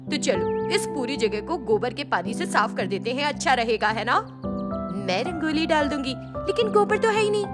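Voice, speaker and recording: A woman voicing naive, cheerful young village daughters-in-law who speak guilelessly and respectfully in a storybook tale. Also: high-pitched. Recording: music behind the voice.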